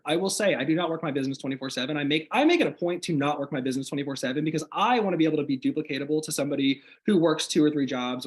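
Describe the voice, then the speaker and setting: Fast-talking upbeat man, slightly hoarse. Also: on the high side. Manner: energetic, motivational, many "like" fillers. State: slightly hoarse, recovering from illness. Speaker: guest sharing business tips. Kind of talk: casual video call chat. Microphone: video call microphone.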